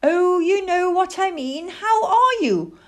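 A woman's voice: extremely high pitch, animated, slow pace, pitched above the performer's own voice, full character voice. A woman voicing a genial, enthusiastic grown man who stargazes, explaining politely and exclaiming.

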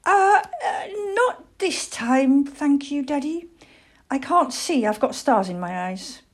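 A woman's voice: high-pitched, sing-song, slow pace, voice pushed up, exaggerated voice. A woman voicing an anxious, eager young boy barn owl in a storybook, with a childlike, earnest manner. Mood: reluctant.

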